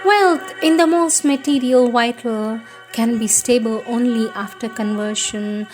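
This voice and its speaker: Woman reader, fairly high voice, measured and solemn devotional delivery, clear music bed. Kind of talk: slow devotional scripted reading.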